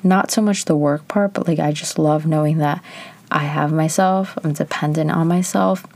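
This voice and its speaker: Low-voiced chatty woman in her twenties. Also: steady tone, normal speed, a little mellow, no room sound. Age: 20s. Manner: chatty, candid, frequent "like" fillers. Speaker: vlogger. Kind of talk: casual personal reflection.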